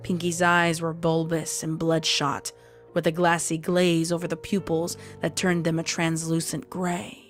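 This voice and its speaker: Low-voiced woman reading a dark story. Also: completely flat delivery, a little slow, soft background music. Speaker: story narrator. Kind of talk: scripted dramatic narration of a fan fiction horror story.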